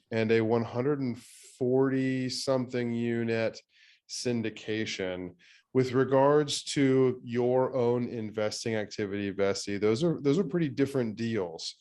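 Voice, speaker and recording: A man hosting a real estate podcast interview, talking in a relaxed way with many fillers and restarts. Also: mid-range voice, clean tone. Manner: thinks aloud with fillers and restarts. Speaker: podcast host. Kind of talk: conversational interview.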